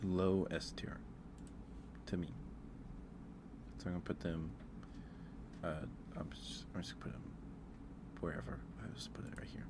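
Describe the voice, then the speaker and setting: A man with a low-pitched voice hosts a casual ASMR stream. He speaks softly and hesitantly, rambling as he thinks aloud. There is clear background noise on the recording. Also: flat delivery, moderate pace, pauses often. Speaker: livestream host. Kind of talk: casual ASMR livestream chat, ranking aloud.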